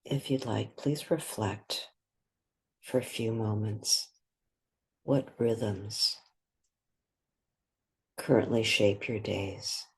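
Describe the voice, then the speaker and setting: Slow, breathy woman guiding a meditation. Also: extremely low pitch. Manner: gentle, unhurried, with long pauses between phrases. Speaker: meditation guide. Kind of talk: guided meditation.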